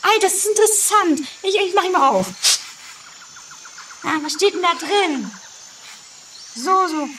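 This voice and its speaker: Female performer as curious, chatty, flighty lady, very high piping voice, old amateur radio play with faint background noise. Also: expressive, unhurried, pauses often, fairly bright tone, full character voice. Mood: chatty, nosy. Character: gushing, flighty, curious, easily unsettled lady. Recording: distant, faintly noisy amateur 1980s recording.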